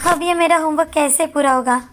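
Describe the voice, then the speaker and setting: A woman's voice: sits high, fairly quick, natural voice. A woman voicing a jealous, cruel young stepsister girl, bossy and scornful, in a cartoon moral story. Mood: bossy, scheming. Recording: background music under the voice.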